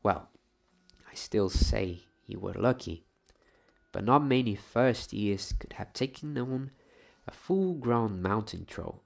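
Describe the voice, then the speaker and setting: A man voicing a stern older woman professor in a storybook reading, scolding in a measured way. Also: on the low side, expressive, gravelly, full character voice. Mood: grudging approval.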